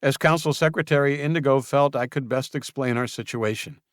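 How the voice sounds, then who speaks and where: A man's voice: mid-range voice, brisk, no echo, clean studio sound, pitched above the performer's own voice, lightly acted. A man voicing a cornered young male traitor who argues defensively and pleads, growing agitated under questioning. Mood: defensive, pleading.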